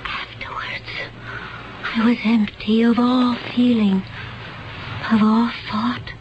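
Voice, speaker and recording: A woman's voice: fairly high pitch, flat delivery, slow pace. A woman acting in a 1970s radio drama as a tense, earnest young woman who claims to come from another planet, intense, solemn and literal. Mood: haunted confession. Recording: muffled, noisy 1970s radio broadcast recording.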